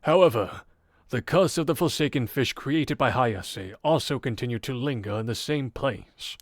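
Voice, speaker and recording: A man voicing a game character who recounts an old legend in a measured, formal, theatrical way. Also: medium pitch, no echo, clean studio sound, natural voice. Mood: measured, explanatory. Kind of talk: dramatic scripted visual novel storytelling. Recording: game audio with background music.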